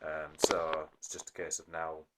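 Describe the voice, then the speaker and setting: A man's voice: a bass voice, monotone, unhurried, heavy background noise. A man talking casually to a phone as a hobbyist explaining a repair, rambling and often correcting himself apologetically. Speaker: hobbyist video maker. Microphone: phone recording.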